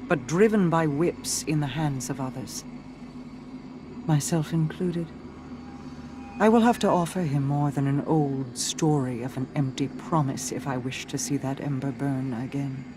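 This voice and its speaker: Slow, solemn, brooding queen. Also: very deep voice, background noise. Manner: solemn, measured, formal. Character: regal, brooding, mysterious queen and grieving sister. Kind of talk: dramatic video game monologue. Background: music.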